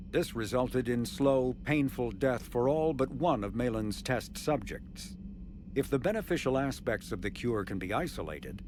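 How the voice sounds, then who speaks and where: A man's voice: mid-range voice, darkish tone, slight background noise, lightly acted. A man playing a formal encyclopedia narrator, reading scripted lore for a science-fiction game.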